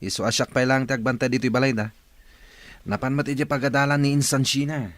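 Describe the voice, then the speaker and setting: A man's voice: mid-range voice, little pitch movement, fast talker, fairly bright tone, dry recording, natural voice. A man playing a dutiful, deferential young grandson in a radio drama, with an Ilocano accent. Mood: respectful.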